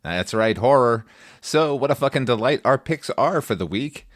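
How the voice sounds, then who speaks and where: A man's voice: mid-range voice, lively intonation, moderate pace, no echo, studio quality. A man hosting a movie podcast in a playful, theatrical scripted monologue. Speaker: podcast host.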